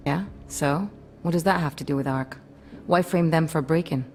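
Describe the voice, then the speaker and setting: A woman's voice: sits low, moderate pace, darkish tone, faint music behind. An actress playing a streetwise, wary female activist hacker in a video game, talking in a blunt, slangy, casual way. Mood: blunt, puzzled. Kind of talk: video game cutscene acting.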